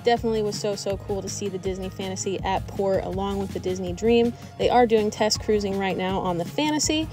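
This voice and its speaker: A woman's voice: mid-range voice, fairly quick, few pauses, loud music behind the voice. A woman in her thirties, a vlog host, narrating her trip in an upbeat, explanatory way.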